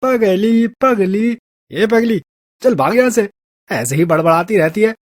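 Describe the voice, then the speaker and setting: A man playing a mocking, cowardly street prankster in a story, excitable and full of slang. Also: high-pitched, measured pace, no echo, studio quality. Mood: jeering. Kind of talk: Hindi audio story character acting.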